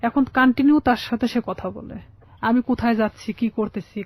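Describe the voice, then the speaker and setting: A woman's voice: fairly high pitch, fairly even intonation, a little fast, muffled recording. A young woman with a Bangladeshi Bengali accent telling a personal life story as a guest in a radio interview. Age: young adult.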